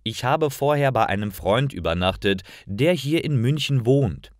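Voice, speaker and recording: A man's voice: mid-range voice, lively intonation, normal speed, dry recording, studio quality, voice pushed up, natural voice. A man voicing a nervous, earnest young woman traveller in a story reading, with careful articulation. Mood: anxious, explaining.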